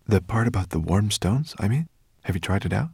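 A man's voice: on the low side, big pitch swings, a little fast, gravelly, darkish tone, breathy edge, no room sound, full character voice. A man voicing a curious, kindly young boy in an audiobook, asking things in an earnest way. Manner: questioning, earnest. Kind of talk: audiobook character voice.

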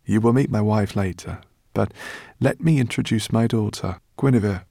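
A man's voice: lowish voice, normal speed, creaky, warm tone, dry recording, voice pushed up. A courtly male professor from a storybook, acted by the narrator, a man. He speaks formally and effusively, full of joy and pride, in a close, dry sound. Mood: joyful, courtly. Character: courtly, joyful male professor, a proud father. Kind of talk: storybook character voice.

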